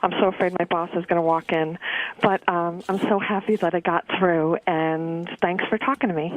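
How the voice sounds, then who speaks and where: A woman's voice: fairly low pitch, fairly even intonation, moderate pace, slight background noise. A woman calling in to a radio show by phone, chatting casually in a gushing way. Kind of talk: casual chat. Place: office, on the phone. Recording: tinny narrowband phone line.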